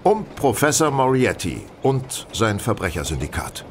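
An actor playing a self-assured male gentleman detective, speaking formally and precisely in a radio play. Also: big pitch swings, normal speed, close to an everyday voice. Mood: insistent. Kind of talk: German radio play dialogue. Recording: train rumble behind the voice.

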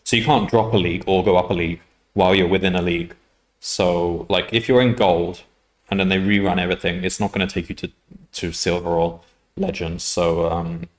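Low-voiced man chatting on a coding stream. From United Kingdom. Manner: rambling, self-correcting, laughs often. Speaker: coding livestreamer. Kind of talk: casual live-stream chat while coding. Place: home room at a desk.

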